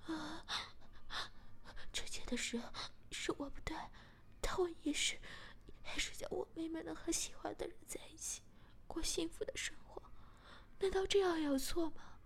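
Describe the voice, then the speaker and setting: A woman's voice: very high voice, moderate pace, gravelly, pitched above the performer's own voice. A woman voicing a frightened but defiant young female captive in a whispered storybook reading. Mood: tense, pleading.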